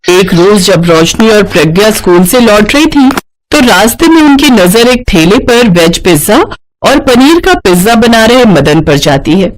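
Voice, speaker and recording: A woman's voice: medium pitch, expressive, a little slow, poor audio. A woman narrating a scripted children's cartoon story as a storyteller.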